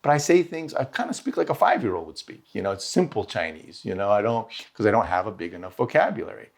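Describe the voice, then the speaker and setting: A man teaching swordsmanship, answering questions in a rambling, self-interrupting and lively way. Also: fairly low pitch, fast talker, gravelly. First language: English. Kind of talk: conversational Q&A answers.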